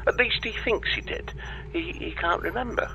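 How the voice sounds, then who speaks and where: A man's voice: high voice, moderate pace, creaky, bright, forceful tone, lo-fi sound, a little hiss or hum, heavily acted. A man playing an evasive, breezily unhelpful space-agency dispatcher in a comedy audio drama, talking over a radio link. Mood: sheepish, brisk. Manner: breezy, evasive, hesitant when cornered.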